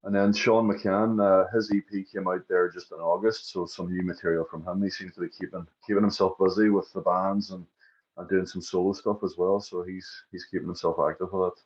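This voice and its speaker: A man's voice: low-pitched, flat delivery, a little fast, dull audio. A man with a Northern Irish accent chatting casually as co-host of a music podcast, agreeable and often echoing his own words. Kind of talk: casual chat about music.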